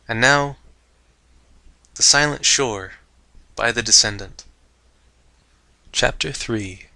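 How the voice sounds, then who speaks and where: A man with a fairly low, forceful voice narrates fiction like a theatrical storyteller. The sound is dry and close, with every dramatic phrase placed clearly in front. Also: dry recording. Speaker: host and narrator of fan fiction readings.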